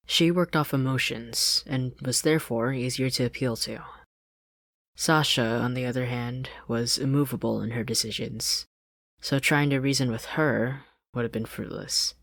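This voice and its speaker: Story narrator reading fanfic, mid-pitched for the range, really dry close mic. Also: vocal fry, fairly bright tone, dry recording. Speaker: podfic narrator. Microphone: close studio-style desk microphone, dry.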